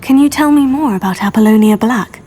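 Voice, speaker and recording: A woman's voice: fairly high pitch, fairly quick, mellow, lightly acted. A woman playing an earnest, curious teenage student witch in a video game, polite and composed. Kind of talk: video game character acting.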